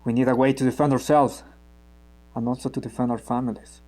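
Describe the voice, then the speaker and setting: A man playing a guarded, cynical revolutionary who explains himself in a measured way in a naturalistic radio play. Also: medium pitch, level tone, dark tone, close to an everyday voice. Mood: guarded, wry. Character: guarded, cynical male member of an armed revolutionary group.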